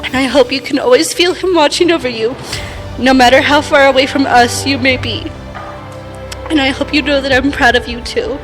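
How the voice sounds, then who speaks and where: A woman giving a prepared, heartfelt wedding toast as a sister, in an earnest, measured way, at a reception. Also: high-pitched, steady tone, a bit bright, soft background music. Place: wedding reception, speaking to guests.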